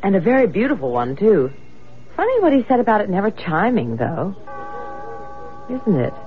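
A woman's voice: mid-range voice, wildly swooping pitch, normal speed, over music. A woman playing an eager, chatty, house-proud wife in a radio drama. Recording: dull lo-fi radio recording.

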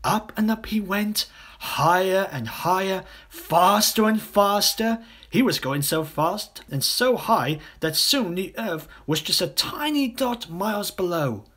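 Children's audiobook reader: man, slow and expressive, swooping intonation, theatrical. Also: high-pitched. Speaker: audiobook narrator. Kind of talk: dramatic children's story narration.

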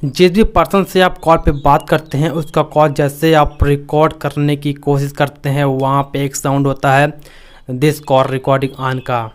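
A man's voice: a little high, flat delivery, a little slow, clear, resonant tone. An Indian man narrating a step-by-step phone tutorial in a repetitive, instructional way. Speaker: tech tutorial host.

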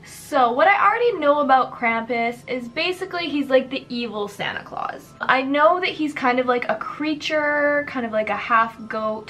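Chatty young woman, bright upbeat voice. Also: high-pitched. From Canada. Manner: chatty, upbeat, lots of "like" fillers. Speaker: YouTube host. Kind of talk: casual storytelling while doing makeup. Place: decorated bedroom.

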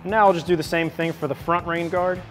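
A man in his thirties walking through an install step by step in a bright indoor garage. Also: fairly high pitch, a little fast, soft background music. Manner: steady step-by-step instruction. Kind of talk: how-to install walkthrough.

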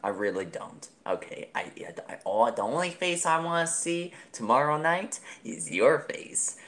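A man's voice: a little high, very animated, a little slow, fairly bright tone. A man playing a nervous, pleading young suitor in an improvised skit, stammering and repeating himself.

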